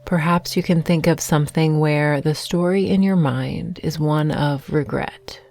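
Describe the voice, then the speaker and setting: A woman guiding a meditation with affirmations in a soothing, gentle, unhurried manner, leaving long pauses. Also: low voice, steady tone, gravelly, a little hiss or hum. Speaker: meditation guide. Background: soft meditation music with singing bowls.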